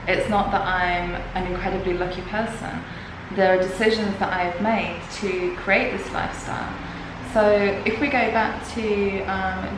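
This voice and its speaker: A middle-aged woman talks openly and thoughtfully as an interview guest, her voice slightly gravelly. The room around her is very echoey, and there is steady noise in the background. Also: fairly low pitch. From Denmark. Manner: open, reflective storytelling. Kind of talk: casual interview. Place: indoors at a yoga retreat.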